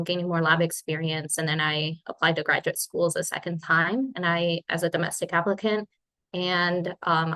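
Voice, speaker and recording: A woman's voice: on the low side, fairly bright tone. A woman in her twenties from Spain, born in Colombia, giving an informal webinar presentation as a PhD student. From born in Colombia, grew up in Spain. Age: 20s. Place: remote webinar.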